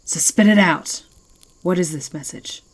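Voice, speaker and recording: A woman's voice: fairly low pitch, measured pace, slightly rough. A woman playing a proud, menacing werewolf who leads an ancient pack, speaking with haughty deliberation in an ASMR fantasy roleplay. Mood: impatient.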